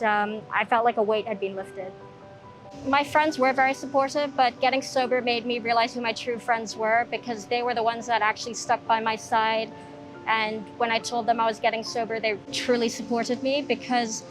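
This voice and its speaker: A young woman from Hong Kong telling her own recovery story in a soft-spoken, reflective way, pausing often with ums. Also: fairly high pitch, low-quality recording. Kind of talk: personal testimony. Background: soft background music.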